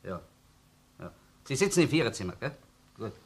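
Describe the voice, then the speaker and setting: A man's voice: mid-range voice, very animated, moderate pace, creaky, noisy background. A man with a Viennese accent playing a doubting, persistent police detective in a radio crime drama, dry and colloquial. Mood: businesslike. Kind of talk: German-language radio crime drama acting.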